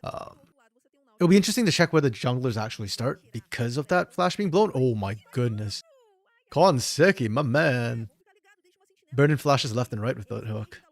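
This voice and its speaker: A man talks through a match replay on his own, his voice a bit hoarse and gravelly. He thinks aloud, often restarting mid-sentence, in a relaxed commentary style. The sound is dry and close, with no room around it. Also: mid-range voice, moderate pace, dry recording. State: slightly hoarse. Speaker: esports caster doing a solo VOD review. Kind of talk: casual solo game analysis commentary.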